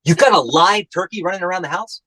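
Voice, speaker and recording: An actor playing a grumpy, sleepy husband stuck in a hospital bed, complaining and hollering in a comic radio play. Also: male voice, high voice, fast talker, bright, forceful tone, dry recording, rough recording. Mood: grumbling.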